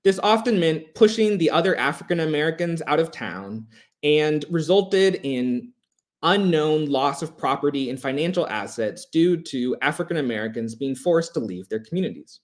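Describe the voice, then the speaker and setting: Higher-voiced man lecturing with lively intonation. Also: moderate pace. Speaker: history lecturer. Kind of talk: prepared educational history presentation.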